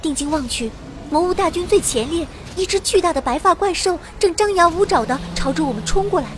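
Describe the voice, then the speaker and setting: A woman playing a young fantasy heroine who narrates her own adventure in expressive, scripted radio-drama style. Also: extremely high pitch, brisk. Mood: dramatic. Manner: expressive storytelling. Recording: noisy battle effects behind.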